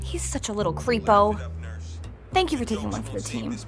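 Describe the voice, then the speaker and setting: A woman's voice: mid-range voice, animated, brisk, gravelly, faint music behind. A woman playing a laid-back, teasing young cat owner in an audio drama. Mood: disgusted, amused.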